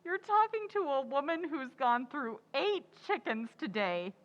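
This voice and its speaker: An actress playing a flustered, scatterbrained housewife in an old-time radio comedy, exclamatory and melodramatic. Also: female voice, sits high, very animated, a little slow, dull audio. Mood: weary, deadpan.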